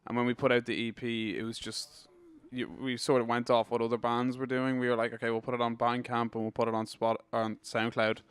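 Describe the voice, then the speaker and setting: Casting: young Irish male musician, casual interviewee, crisp voice with little pitch movement. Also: level tone, a little fast, few pauses, no room sound. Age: young adult. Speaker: band member being interviewed.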